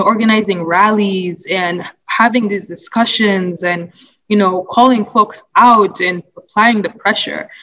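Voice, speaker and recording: Young woman from Uganda, interviewee, mid-pitched, earnest and reflective, lots of ums and restarts. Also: fairly bright tone, poor audio. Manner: thoughtful, earnest, many fillers and restarts. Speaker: interviewee, anti-racism advocate. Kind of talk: conversational interview answer.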